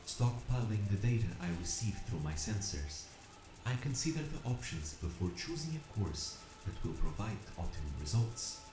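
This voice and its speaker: A man's voice: lowish voice, very animated, moderate pace, reverberant, rough recording. A man playing an earnest, determined, calculating combat robot, narrating an audio drama in the first person with formal, precise diction. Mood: cool calculation. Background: music.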